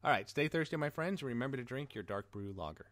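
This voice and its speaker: A man's voice: mid-range voice, animated, fast, fairly bright tone, dry recording. A man hosting a podcast in a casual chatting style. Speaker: podcast host.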